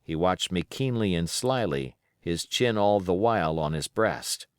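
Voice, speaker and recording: A man narrates a classic story in a fairly low voice. His reading is scripted and literary with very varied intonation, recorded dry and close. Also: lively intonation, a little slow, clean tone, dry recording. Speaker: audiobook reader.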